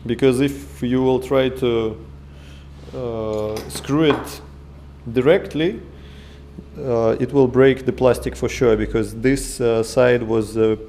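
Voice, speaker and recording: A man in his thirties with a Russian accent, talking through his workshop in halting English full of fillers and restarts, recorded on an action camera indoors. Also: medium pitch, fairly even intonation, dark tone, slight background noise. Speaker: maker vlogger. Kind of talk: casual workshop vlog. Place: home workshop room, indoors. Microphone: action camera held or mounted close.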